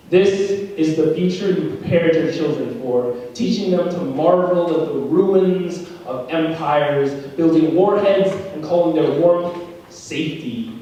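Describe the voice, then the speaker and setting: A young man performs a slam poem in a school room. His delivery is dramatic, jumping from loud cries down to low, hushed lines, and his voice sits on the high side. He is heard from a distance in a strongly echoing room. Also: dull audio. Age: young adult. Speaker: slam poet. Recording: very echoey room, voice from far off.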